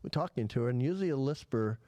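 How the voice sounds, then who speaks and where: Male podcast host, lisp, mellow dark tone, dry close sound, loose rambling delivery. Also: medium pitch, lively intonation, quick pace, dry recording. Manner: rambling, with many restarts and fillers. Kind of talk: casual chat.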